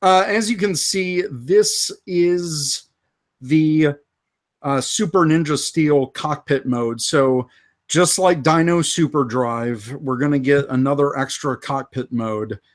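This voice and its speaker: A man co-hosting a live fan podcast, casually going through toy and movie news with many pauses and fillers. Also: a little high. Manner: unhurried, lots of uh fillers. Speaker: podcast co-host.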